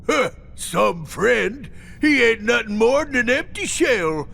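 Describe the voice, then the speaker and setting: A man voicing a burly, mocking cartoon cat villain in a game, with gravelly, jeering tough-guy slang. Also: extremely high pitch, sing-song, slow, bright, forceful tone, exaggerated voice. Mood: scornful. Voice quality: gravelly, creaky. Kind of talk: animated game voice acting. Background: game music.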